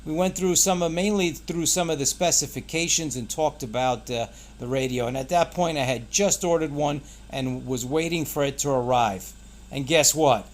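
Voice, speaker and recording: A man's voice: a little high, normal speed. A man hosting a casual product unboxing, pointing things out in short, clipped phrases. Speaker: product reviewer and channel host.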